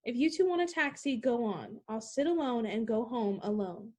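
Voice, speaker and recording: A woman playing a bold, dismissive small-town woman of thirty-three in a 1940s-style radio play, brisk and scoffing. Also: a little high, lively intonation, darkish tone. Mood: scornful, impatient.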